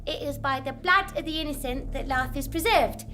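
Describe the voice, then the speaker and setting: A woman's voice: high voice, moderate pace, music in the background, lightly acted. A woman playing a blunt, chatty elderly prisoner in a live comic stage drama, flippant and matter-of-fact.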